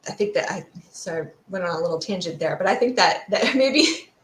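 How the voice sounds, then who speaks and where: Echoey low-voiced woman explaining research. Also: animated, brisk. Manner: thoughtful, discursive, academic. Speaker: guest expert, fear sociologist. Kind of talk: explanatory interview talk. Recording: roomy, echoey sound.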